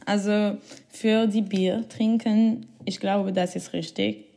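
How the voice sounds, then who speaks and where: A young woman from Switzerland answering interview questions in hesitant learner's German with many pauses and small laughs. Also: medium pitch, steady tone, creaky, warm tone. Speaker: guest, former exchange student.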